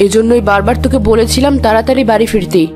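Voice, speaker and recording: Woman playing a high-voiced, worried village mother. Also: very fast, close to an everyday voice. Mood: scolding. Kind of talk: animated horror story dialogue. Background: music.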